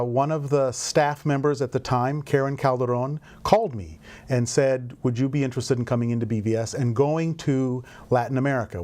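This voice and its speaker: A middle-aged man talks through his background in an easy, unhurried interview. His voice sits at an ordinary middle pitch. He pauses often and fills the gaps with "uh" and "um". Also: a little mellow. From grew up in Indonesia and Indiana. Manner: thoughtful, rambling with fillers. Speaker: volunteer program director. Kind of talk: conversational interview.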